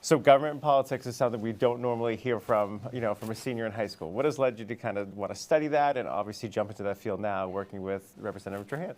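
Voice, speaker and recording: A man's voice: lowish voice, quick pace, hardly any pauses, a little mellow. A middle-aged man, a school dean, introducing and interviewing a student in a friendly, loose way with many fillers.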